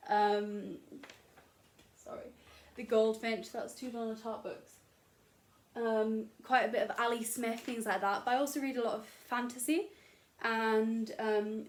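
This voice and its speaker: A woman's voice: fairly high pitch, slight background noise. A young woman chatting casually to camera about books in her bedroom, rambling and self-deprecating with lots of fillers. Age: young adult. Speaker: booktuber. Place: bedroom at home.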